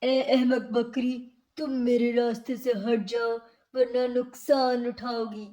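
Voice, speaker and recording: A woman's voice: on the high side, flat delivery, takes it slowly. A woman playing a big, angry female cow who orders and threatens in a children's story. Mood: angry, threatening. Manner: bossy, threatening, forceful delivery.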